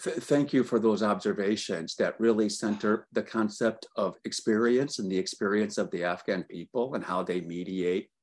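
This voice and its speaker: Male moderator, low husky voice, measured delivery. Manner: measured, occasional stammer. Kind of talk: academic discussion.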